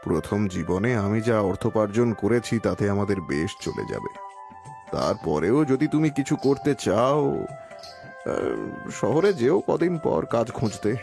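A man playing a lonely, kindly but secretive elderly villager in an audio drama, speaking haltingly and repeating his words. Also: lowish voice, very animated, vocal fry, music in the background, full character voice. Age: older. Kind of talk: Bengali audio story acting.

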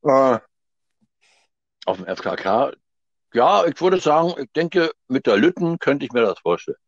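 A man's voice: mid-range voice, sing-song, moderate pace, no echo. A man in his seventies, a guest actor talking by phone; he hesitates and searches for his words. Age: 70s. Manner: hesitant, laughing, hunting for words. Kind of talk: phone interview. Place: home, on the phone. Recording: dull phone line, dry.

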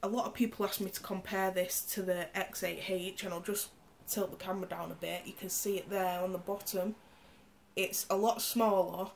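A woman's voice: mid-range voice, steady tone, moderate pace, gravelly, slight background noise. A woman, stuffed up and nasal from a cold, casually reviewing a tech gadget while demonstrating it on screen. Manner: chatty, explains specs while demonstrating. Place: indoor room, camera on the screen.

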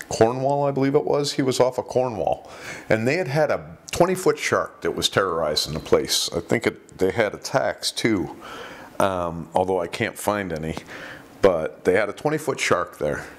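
A man's voice: lowish voice, sing-song, moderate pace. A middle-aged man hosting a show, telling stories in a casual, rambling way with many fillers and restarts, recorded in a small studio on a lapel mic. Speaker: podcast host. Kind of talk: casual storytelling commentary.

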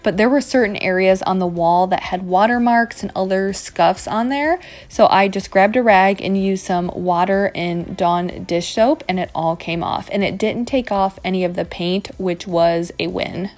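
A woman's voice: mid-range voice, steady tone, normal speed, few pauses, a little mellow. A woman in her thirties giving a casual voice-over as a home cleaning vlogger, talking directly to viewers. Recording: music playing behind voice. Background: background music.

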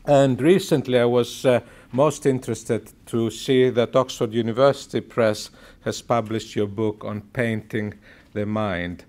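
A man's voice: medium pitch, steady tone, a little slow, darkish tone. A man chairing an event in a lecture hall, politely introducing the keynote speaker. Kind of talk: formal introduction.

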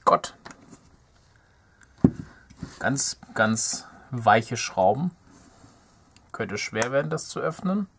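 A man's voice: mid-range voice, big pitch swings, very slow, vocal fry, pauses often. Man thinking aloud, noisy recording. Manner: thinks aloud while working, playful muttering. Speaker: DIY electronics video host. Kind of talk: casual hands-on teardown commentary. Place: indoor workbench.

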